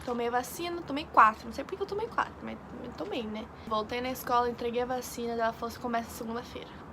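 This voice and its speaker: A woman's voice: fairly high pitch, very fast. A young woman from Brazil, an exchange student, telling a rambling story in casual chat with lots of fillers. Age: young adult. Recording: noisy background.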